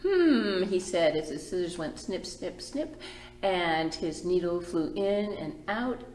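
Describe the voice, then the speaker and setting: A woman reading a picture book aloud to children as a library storyteller, expressive and emphatic, with chatty asides. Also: on the low side, a little slow, roomy sound, slight background noise.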